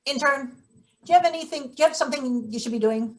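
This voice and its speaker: High-voiced, bossy woman worker, played by an actress. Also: fast talker, fairly bright tone. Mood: brusque, bossy. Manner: bossy, clipped, sardonic. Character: brusque, scheming, cynical female maintenance worker boss. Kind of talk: theatrical table read of a satirical play.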